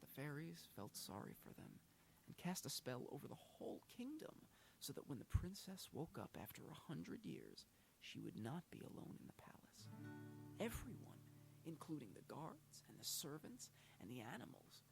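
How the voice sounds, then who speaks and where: A man narrating a children's fairy tale in a soft, hushed, breathy reading. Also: on the high side, huge pitch swings, moderate pace, low-quality recording. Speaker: storyteller. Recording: loud guitar music behind. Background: loud plucked guitar music.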